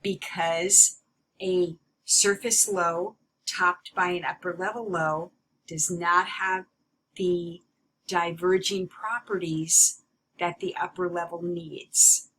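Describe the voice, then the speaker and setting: A woman giving an explanatory lecture as a teacher, in a deliberate, emphatic way that repeats key points. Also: on the low side, steady tone, fairly bright tone, a little hiss or hum, rough recording. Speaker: meteorology teacher.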